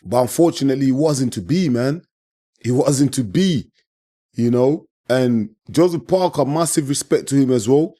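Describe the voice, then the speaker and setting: A man giving a casual, passionate post-fight reaction as a channel host, his voice hoarse and worn out from shouting. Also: medium pitch, moderate pace. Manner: passionate, repeats himself, keeps saying "man".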